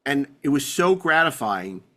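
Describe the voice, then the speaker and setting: A man's voice: medium pitch, very animated, measured pace, sharp tone. A man hosting a podcast in casual banter, rambling along with enthusiasm and wry humor. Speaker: podcast host.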